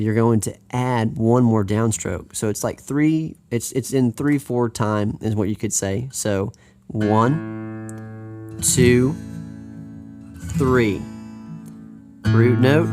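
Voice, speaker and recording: An American man teaching a live guitar lesson, talking in an upbeat, chatty way that wanders and restarts. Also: medium pitch.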